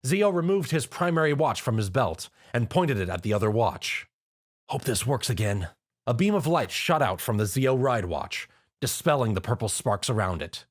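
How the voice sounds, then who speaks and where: A man reading fan fiction aloud with lively, expressive narration. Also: medium pitch, normal speed. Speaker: reader.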